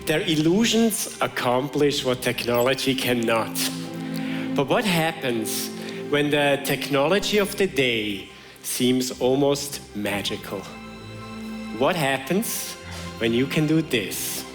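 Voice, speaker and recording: Measured male stage presenter over music. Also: medium pitch, lively intonation. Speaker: stage magician and technologist. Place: theatre stage before a live audience.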